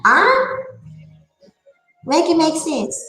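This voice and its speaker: A woman's voice: very high-pitched, sing-song, very slow, pushed and bright, echoey room, faint music behind. A woman nearing fifty giving a candid opinion monologue, emphatic and repeating her phrases for effect. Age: late 40s. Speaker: online panel talker.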